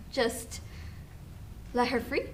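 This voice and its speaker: Casting: young actress as a hidden other self, high voice, unhurried, sly and persuasive; student stage play. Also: female voice, steady tone, noisy background. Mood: coaxing.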